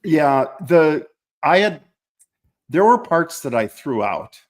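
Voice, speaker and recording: A man from small-town Minnesota with an American accent, recalling his life as an interview guest in a reflective, halting way. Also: on the high side, very animated. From small-town Minnesota, United States. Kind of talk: conversational personal recollection.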